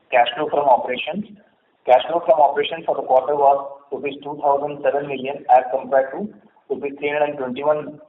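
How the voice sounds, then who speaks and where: A man with an Indian accent formally reading out a scripted financial report as a company executive. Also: medium pitch. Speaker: company executive presenting results. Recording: narrow phone line, faint noise.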